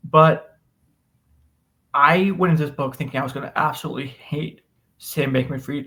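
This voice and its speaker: An American man with a slightly high voice chats as a podcast co-host about a book. He is conversational, opinionated and emphatic when making his points. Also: a bit bright, rough recording. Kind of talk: casual book discussion.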